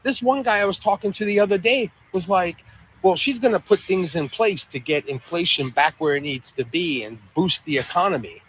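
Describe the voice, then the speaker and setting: A man speaks in a sarcastic, exasperated tone, his voice a little high. A faint hiss sits under the recording. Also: lively intonation, normal speed, a bit bright, low-quality recording.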